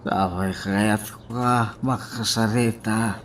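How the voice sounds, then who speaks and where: A man acting as a measured but insistent, lovesick boss in an Ilocano radio drama. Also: on the low side, fairly even intonation, slight background noise. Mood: yearning. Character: insistent lovesick boss pining to see a woman.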